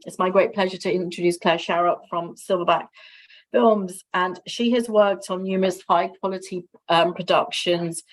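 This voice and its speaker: A woman moderating an online panel, introducing speakers with quick transitions and fillers. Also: fairly low pitch, low-quality recording. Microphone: video call.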